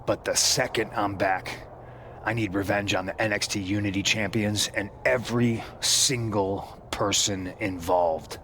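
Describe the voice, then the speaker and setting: A man's voice: on the low side, steady tone, unhurried, fairly bright tone, slight background noise. A man voicing an injured wrestler, bitter and insistent about revenge. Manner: resentful, insistent.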